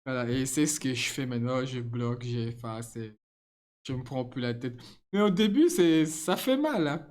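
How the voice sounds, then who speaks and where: A middle-aged man, not a native French speaker, chatting casually to his viewers with frequent laughs and halting restarts. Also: medium pitch, expressive, a little mellow. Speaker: channel host.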